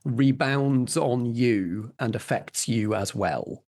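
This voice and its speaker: A man's voice: medium pitch, takes it slowly, a little mellow. A man narrating and refereeing a tabletop roleplaying game as game master.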